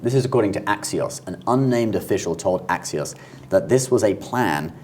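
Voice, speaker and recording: Low-voiced man explaining the news informally. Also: expressive, normal speed, slight background noise. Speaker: show co-host. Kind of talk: conversational news discussion.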